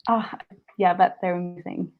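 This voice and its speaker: A woman's voice: lowish voice, steady tone, moderate pace, phone-quality sound. A young woman from Sweden, a singer-songwriter talking as a guest in a casual interview, chatty and full of restarts. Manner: chatty, many likes and restarts.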